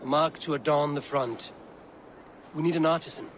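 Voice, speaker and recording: An actor playing a calm, dutiful Viking warrior in a video game cutscene, with outdoor noise behind. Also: male voice, on the high side, moderate pace, natural voice. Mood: measured, curious.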